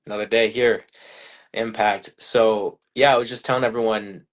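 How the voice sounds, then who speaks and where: Flat-voiced low American man. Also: moderate pace. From United States. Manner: loose, rambling, repeats phrases. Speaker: podcast host. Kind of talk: casual live-stream chat.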